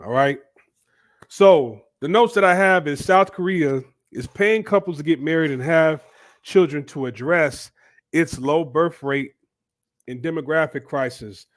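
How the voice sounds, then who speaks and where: A man's voice: a little high, a little slow. A man with a stuffy cold voice hosting a loose, casual commentary video, thinking aloud with fillers and repeats. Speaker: commentary channel host.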